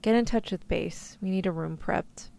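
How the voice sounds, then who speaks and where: Woman acting a creaky-voiced, tough, protective rescuer gal. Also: fairly low pitch, normal speed, warm tone. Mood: menacing, possessive. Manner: casual slangy reassurance, turning threatening. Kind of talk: ASMR roleplay.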